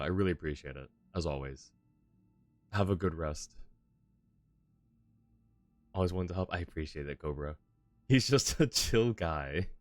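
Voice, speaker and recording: A man's voice: sits low, dry recording. A young man chatting casually and self-deprecatingly while streaming a game. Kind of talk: casual live-stream chat.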